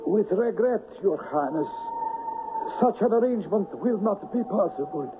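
An actor playing a humble, nervous court envoy, ceremonious and hesitant, in a radio drama. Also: male voice, high-pitched, animated, normal speed. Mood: deferential unease. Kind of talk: 1970s radio drama acting. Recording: dull, noisy old radio recording.

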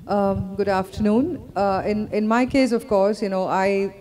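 Mellow-voiced woman answering on a panel. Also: medium pitch, steady tone, measured pace. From India, grew up outside Kerala. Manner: thoughtful, reflective, with fillers and restarts. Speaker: author on a festival panel. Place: outdoor festival stage. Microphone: handheld microphone.

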